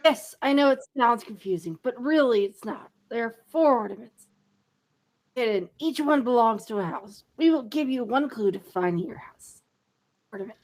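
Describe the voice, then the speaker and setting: A woman's voice: a little high, lively intonation, normal speed, a little mellow. A woman playing an elderly male headmaster wizard, commanding yet genial, with formal, courteous phrasing in an amateur audio drama. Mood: genial, ceremonial.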